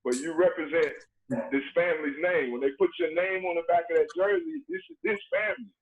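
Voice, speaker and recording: A man, telling stories in a relaxed, earnest way with lots of "you know" fillers, heard over a remote call. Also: fairly high pitch, brisk, gravelly. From Dublin, Georgia, USA. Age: late 30s. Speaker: guest, former basketball player. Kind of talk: casual storytelling conversation. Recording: tinny phone-like call line with faint noise.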